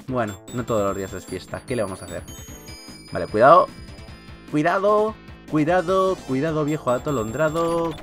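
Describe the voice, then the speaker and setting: Chatty Spanish man over game music. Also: mid-range voice. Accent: Peninsular Spanish. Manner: chatty, joking, mildly sweary. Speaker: gaming video host. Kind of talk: casual Let's Play commentary. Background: video game music.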